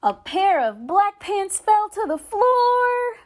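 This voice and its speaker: A woman storyteller reading a picture book aloud to children, with expressive, dramatic phrasing and careful enunciation. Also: extremely high pitch, takes it slowly.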